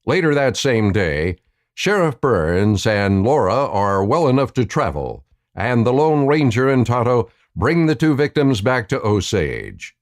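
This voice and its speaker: A man's voice: mid-range voice, sing-song, unhurried. A man narrating a scripted western radio play, telling the story in the present tense.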